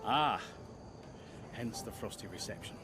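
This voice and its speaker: An actor playing a blunt, exasperated racing team boss in a video game cutscene, wry and questioning. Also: male voice, fairly low pitch, expressive, measured pace, noisy background. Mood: pressing, firm. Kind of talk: video game cutscene acting, naturalistic drama.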